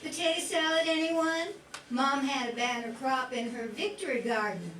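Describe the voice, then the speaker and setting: A woman's voice: high voice, moderate pace, fairly bright tone. A woman playing a proud, chatty young 1940s munitions worker in an amateur radio play. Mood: proud, friendly. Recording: echoey room, distant mic, faint music.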